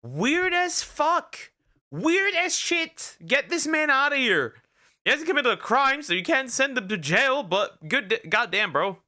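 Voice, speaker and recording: A man's voice: extremely high pitch, sing-song, measured pace, sharp tone. A man streaming as a vtuber and reacting to clips, blunt and emphatic, swearing and repeating his objections. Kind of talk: casual reaction commentary.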